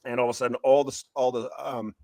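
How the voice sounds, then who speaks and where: A man speaking as an author guest in an interview, pitching his books in an emphatic, opinionated way and repeating phrases for stress. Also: little pitch movement, very fast, warm tone, dry recording, clean studio sound. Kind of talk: passionate conversational pitch of a book series.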